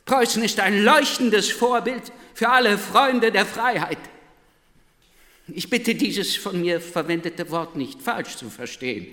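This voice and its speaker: Obsequious high-voiced professor. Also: male voice, a little slow, pushed and bright. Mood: fervent, deferential. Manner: obsequious, stammering, pedantic, flowery phrasing. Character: servile, nervous philosophy professor pleading before a high court. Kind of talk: radio play acting. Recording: large hall with long reverb.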